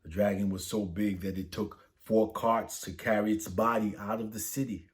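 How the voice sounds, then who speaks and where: A man's voice: on the low side, normal speed. A man reading a saint's story aloud for children, lifting his voice for the quoted lines. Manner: lively, lifts voice for dialogue. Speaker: storybook reader.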